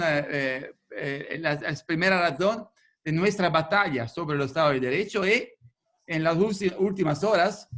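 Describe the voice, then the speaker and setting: Halting man. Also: a little high, fairly bright tone. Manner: halting, searching for words, many restarts. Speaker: federalist speaker. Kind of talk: webinar talk. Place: home video call. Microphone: video call.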